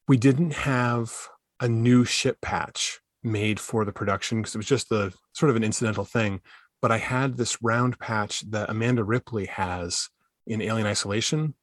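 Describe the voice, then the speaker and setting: Lowish male voice, halting and casual. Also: no room sound, clean studio sound. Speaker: podcast team member, costume designer. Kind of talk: casual roundtable chat.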